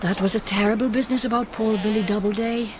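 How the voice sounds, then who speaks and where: A woman's voice: medium pitch, steady tone, quick pace, noisy background, close to an everyday voice. An actress playing a plain-spoken army camp woman in a radio drama, talking in a familiar, folksy way. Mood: friendly, chatty.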